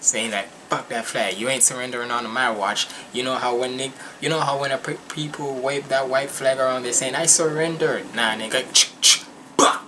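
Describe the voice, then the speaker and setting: A young man from Jamaica giving casual, animated and slangy commentary as he reacts to music at home. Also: medium pitch, normal speed, background noise. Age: young adult. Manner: animated, slangy, emphatic, repetitive. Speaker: reaction video host. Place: small furnished room at home.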